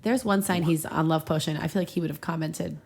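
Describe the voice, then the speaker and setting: A woman's voice: sits low, fast, creaky, darkish tone. A woman hosting a podcast, reading fan fiction aloud and breaking off into giggly, irreverent, conversational asides. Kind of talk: storybook-style reading mixed with casual banter.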